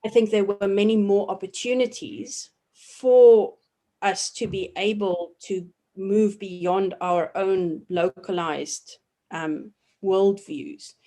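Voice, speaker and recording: Woman in her forties, from South Africa, professor in an interview, reflective, measured, halting restarts, mid-pitched voice. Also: fairly even intonation, dark tone. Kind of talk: thoughtful academic interview answers.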